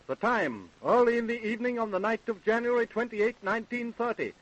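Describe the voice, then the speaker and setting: American male narrator, high voice, scripted crime narration, vintage radio sound. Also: expressive, a little fast, fairly bright tone, rough recording. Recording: old radio broadcast recording.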